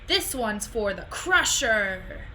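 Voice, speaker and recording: A woman voicing a gruff, stubborn male junkyard boss from a children's picture book, in a blunt, terse manner. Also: medium pitch, very animated, takes it slowly, pushed and bright, slight background noise, heavily acted. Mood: challenging.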